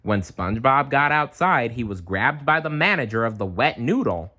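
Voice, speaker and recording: A man reading a children's storybook aloud, expressive and chatty, with casual asides. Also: medium pitch, normal speed. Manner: animated, chatty.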